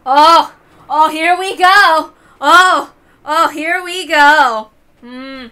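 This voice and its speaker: A woman's voice: high-pitched, very slow, bright, forceful tone. A woman reading a visual novel aloud and adding casual commentary of her own.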